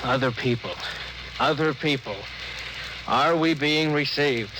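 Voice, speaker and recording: An actor playing an eager, dutiful spaceship officer, brisk, earnest and deferential, in a 1950s radio drama. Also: male voice, mid-range voice, close to an everyday voice. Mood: formal. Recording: faintly noisy old radio broadcast.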